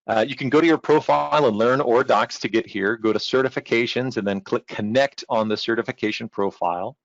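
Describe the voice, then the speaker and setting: A man's voice: medium pitch, no room sound. A man giving an informal, explanatory technical presentation online. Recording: dull lo-fi online-call audio.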